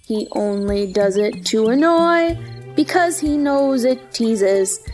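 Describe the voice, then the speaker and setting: A woman's voice: a little high, lively intonation, slow pace, a little mellow, soft background music. A woman playing a brusque, bossy, ill-tempered Duchess in a storybook play, curt and snappish. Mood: harsh sing-song.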